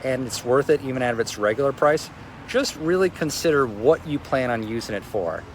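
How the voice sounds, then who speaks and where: A man's voice: medium pitch, expressive, fairly quick, a little hiss or hum. Man with a strong voice, casual review. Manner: conversational, loose phrasing. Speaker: product reviewer.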